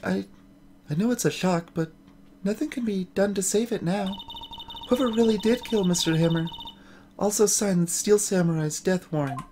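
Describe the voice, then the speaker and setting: A woman voicing a meek, apologetic young woman in a video game, soft-spoken and hesitant. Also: mid-range voice, moderate pace, a little hiss or hum, lightly acted. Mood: resigned, apologetic. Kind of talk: voiced visual novel game dialogue.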